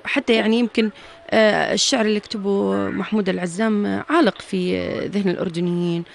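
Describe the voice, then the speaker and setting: A woman with a Jordanian accent hosting a radio interview, filling pauses with long drawn-out sounds and regularly affirming her guest. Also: mid-range voice, fairly even intonation, vocal fry, slight background noise. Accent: Jordanian Arabic. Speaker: radio host.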